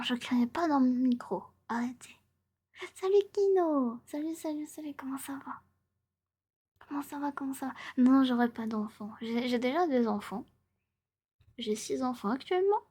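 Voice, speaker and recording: A woman in her twenties chatting casually and playfully as a live streamer, often in a soft, breathy ASMR whisper and repeating her phrases. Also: high voice, unhurried, long pauses. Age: 20s.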